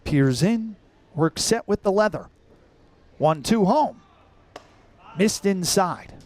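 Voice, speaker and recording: A man's voice: high-pitched, lively intonation, takes it slowly, darkish tone. A young American man calling baseball live as a radio play-by-play announcer, in clipped, descriptive phrases. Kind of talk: live sports commentary.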